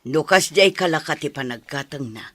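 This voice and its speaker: A woman's voice: sits low, lively intonation, moderate pace, a bit bright, no echo, clean studio sound. A woman with an Ilocano accent playing an aggrieved villager in a radio drama. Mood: indignant. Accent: Filipino, Ilocano. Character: aggrieved adult villager haggling over produce prices.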